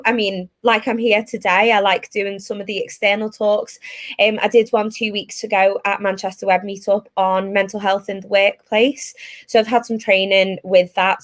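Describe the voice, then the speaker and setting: A woman with a Liverpool accent, a tech recruiter speaking as a guest on a webinar in a chatty way, with lots of fillers, over a video call. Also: mid-range voice. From Liverpool, England. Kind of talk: casual webinar talk.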